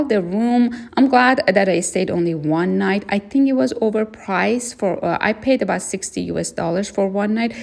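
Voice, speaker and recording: A woman giving a casual spoken travel review, unhurried and plain, with frequent fillers. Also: medium pitch, gravelly, a little mellow. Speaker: travel vlogger.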